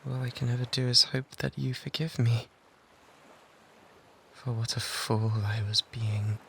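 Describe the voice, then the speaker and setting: A man's voice: mid-range voice, steady tone, a little slow, fairly bright tone. A British man playing an obsessive, lovesick pirate in a romantic ASMR roleplay, pleading fervently and breathily, close to a binaural microphone. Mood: remorseful. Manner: fervent, breathy pleading that often drops toward whispers. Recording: noticeable background noise and ambience.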